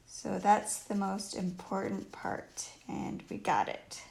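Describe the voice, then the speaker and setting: A woman with a croaky voice casually teaching painting on a livestream, thinking aloud in short phrases as she works. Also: medium pitch, lively intonation, slow pace, a little mellow. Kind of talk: casual live painting tutorial. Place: indoors at home, live stream while painting. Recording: roomy live stream with faint noise and clicks.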